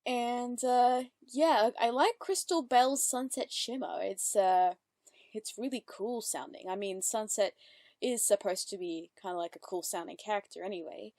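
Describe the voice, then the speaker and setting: A woman's voice: medium pitch, a little slow, a little mellow, no echo, studio quality. A woman hosting casual reaction commentary, chatty and upbeat, full of fillers and self-corrections. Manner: giggly, upbeat. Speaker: reaction channel host.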